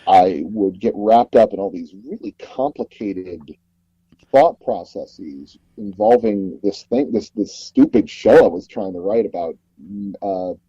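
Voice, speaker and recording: A man being interviewed as a guest, telling personal anecdotes in a rambling, halting way and often circling back to rephrase. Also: fairly low pitch, animated, dark tone, breathy edge, dry recording, highs cut off. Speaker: guest audio drama producer and actor.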